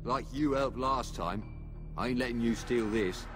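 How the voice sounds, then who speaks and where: An actor playing a blunt, suspicious, dim-witted medieval thief with rough uneducated diction in a video game. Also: male voice, mid-range voice, a little slow, close to an everyday voice. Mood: grumbling. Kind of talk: video game character acting. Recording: music behind the voice.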